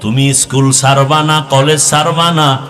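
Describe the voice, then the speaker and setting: High, forceful Bangladeshi male preacher, heavy reverb. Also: fairly even intonation. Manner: impassioned, chanting, drawn-out exhortations. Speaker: Islamic preacher. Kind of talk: waz sermon to young people. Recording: long hall reverb with music behind.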